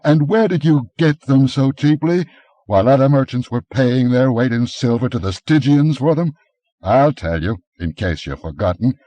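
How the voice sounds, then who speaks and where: A man reading an audiobook, voicing a brawny barbarian adventurer who speaks in a gruff, commanding way, a little higher and louder than his narration. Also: moderate pace, no room sound, close to an everyday voice, low-quality recording. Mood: scornful.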